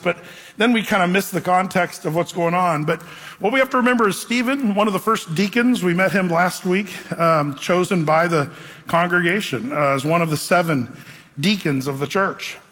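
A man's voice: high voice, moderate pace, fairly bright tone. A middle-aged man preaching a sermon in a casual, rambling way, full of "man" and "you know". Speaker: pastor. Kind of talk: sermon with storytelling. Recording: long hall reverb, faint music.

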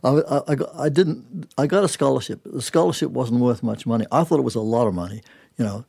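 A man's voice: mid-range voice, fast, creaky, dark tone, slightly rough. An older man from New Zealand, a jazz musician chatting as a studio guest in an emphatic, colloquial way, often breaking off and restarting his sentences. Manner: emphatic, colloquial, self-interrupting. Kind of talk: casual conversational interview, telling anecdotes.

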